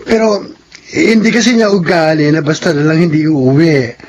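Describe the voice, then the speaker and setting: A high-pitched male voice with a husky edge , acted for a soap drama. The fussy salon worker is fretful and confiding, full of worry. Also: normal speed, darkish tone, close to an everyday voice. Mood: worried. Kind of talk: audio soap drama acting.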